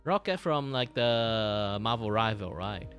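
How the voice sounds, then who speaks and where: A man who speaks English as a second language, chatting casually on a livestream in a halting, repetitive way. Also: fairly low pitch, faint music behind. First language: not English. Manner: halting, repetitive, animated reactions. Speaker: streamer and animator.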